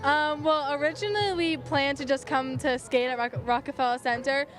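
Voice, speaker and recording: A young woman from Maine chatting casually in a street interview, relaxed and rambling. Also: sits high, normal speed, music in the background. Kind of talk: casual chat.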